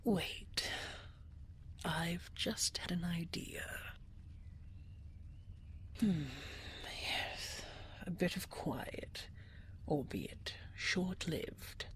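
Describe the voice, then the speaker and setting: A woman playing a regal, possessive dragon queen in an intimate audio roleplay, with a breathy, whispery voice and formal, coaxing speech full of endearments. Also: low-pitched, very slow, long pauses. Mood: coaxing, thoughtful.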